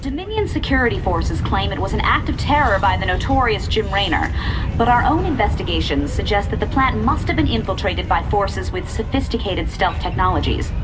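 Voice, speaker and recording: An actress playing a polished TV field reporter in a video game, formal and emphatic. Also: female voice, mid-range voice, close to an everyday voice. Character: earnest, polished female TV news field reporter. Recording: music behind the voice. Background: game soundtrack music.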